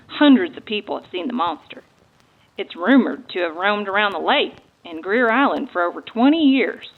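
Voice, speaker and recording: A woman's voice: medium pitch, big pitch swings, normal speed, creaky. A woman giving a dramatic reading of eyewitness accounts from a book. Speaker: guest reader. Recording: thin, phone-like narrow band.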